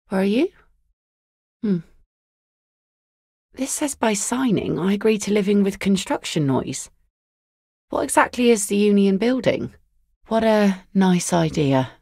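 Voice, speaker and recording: Blunt fisherwoman in a game, gravelly low voice, dry close sound. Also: darkish tone, no echo. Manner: dry, wry and plain-spoken. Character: weathered woman net picker, blunt and dry. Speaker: fisherwoman and mother. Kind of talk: video game voice acting.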